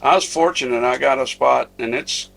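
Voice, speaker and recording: A man's voice: mid-range voice, normal speed, vocal fry, a bit bright. A man with a Southern American accent chatting casually as he interviews fellow sailors. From Charlotte, southern US. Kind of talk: casual chat.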